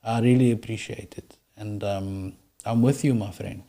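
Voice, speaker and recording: A man's voice: lowish voice, steady tone, moderate pace, mellow. A man hosting an interview in a playful, informal way, often stammering and repeating words. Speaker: host. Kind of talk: interview conversation.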